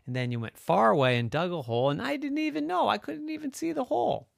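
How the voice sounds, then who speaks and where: A man's voice: sits high, lively intonation, quick pace, vocal fry, no room sound, clean studio sound, pitched above the performer's own voice, exaggerated voice. A man voicing a worried, fussing mother of a small boy in a children's story. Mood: worried, scolding.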